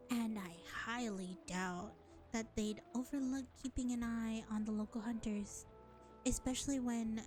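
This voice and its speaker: A woman performs a world-weary vampire woman in an audio roleplay, with a fairly high, mellow voice. She speaks slowly and deliberately, condescending and exasperated with the human hunter she reluctantly helps. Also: close to an everyday voice. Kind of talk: scripted audio roleplay addressed to the listener.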